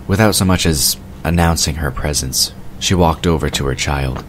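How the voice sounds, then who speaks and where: A man's voice: extremely low pitch, moderate pace, a little hiss or hum. A man giving scripted narration for a fantasy audio drama. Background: music.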